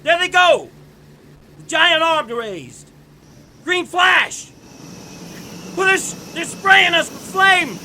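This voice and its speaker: Casting: man playing high-voiced, sharp, urgent military man in vintage radio drama, engine noise behind. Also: lively intonation, slow, pauses often, exaggerated voice, rough recording. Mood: desperate. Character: frantic army artillery spotter, then grim bomber pilot lieutenant. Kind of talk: 1930s radio drama acting.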